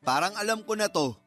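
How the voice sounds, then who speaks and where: A man's voice: sits high, bright, forceful tone, full character voice. An actor playing a playful, blunt young male friend in a Filipino radio drama, ribbing jokily with a Filipino accent. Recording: music bed under the voice.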